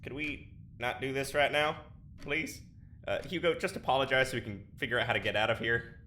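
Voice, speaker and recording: A man's voice: medium pitch, a little fast, pushed and bright, close to an everyday voice. A man playing a dry, slightly awkward peacemaker among prisoners in a sci-fi audio drama. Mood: exasperated. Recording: faint background noise.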